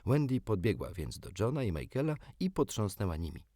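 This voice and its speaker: A man narrating a children's storybook as an audiobook, in an expressive scripted style. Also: on the low side, brisk, dry recording, clean studio sound.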